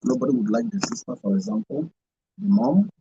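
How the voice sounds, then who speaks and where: Male panel guest, higher husky voice, hesitant, searching for words, dull lo-fi sound. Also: big pitch swings, brisk, mellow, dry recording. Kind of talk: radio discussion. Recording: dull lo-fi dry recording.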